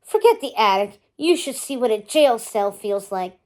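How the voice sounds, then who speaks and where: Lady doing a mean smug stepmother from a kids storybook, taunting, kinda high female voice. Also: lively intonation, normal speed, darkish tone, close to an everyday voice. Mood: smug, menacing. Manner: taunting, self-satisfied. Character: scheming cruel stepmother, middle-aged woman, mocking.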